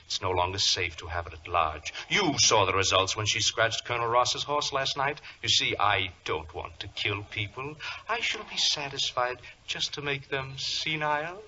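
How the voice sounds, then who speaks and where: An actor playing a suave, gloating criminal mastermind with mock-courteous menace, in the theatrical style of 1940s radio drama. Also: male voice, medium pitch, animated, normal speed, few pauses, pushed and bright. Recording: noisy, narrow old radio recording.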